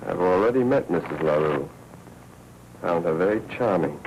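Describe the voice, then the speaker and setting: An actor playing a cool, tough American newspaper editor in a 1940s film noir, terse and wry. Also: male voice, low-pitched, animated, normal speed, a little mellow. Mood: wry, steady. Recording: muffled, hissy 1940s film soundtrack.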